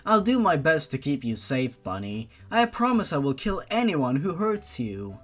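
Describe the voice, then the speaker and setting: A man's voice: on the high side, sing-song, moderate pace, fairly bright tone. A man voicing a fierce, doting, protective older sister in a storybook reading, earnest and solemn. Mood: solemn vow.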